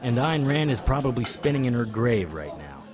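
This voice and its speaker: An actor playing a weary, wry private investigator in a noir audio drama, terse and deadpan. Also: male voice, medium pitch, normal speed, music in the background, lightly acted. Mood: wry, resigned.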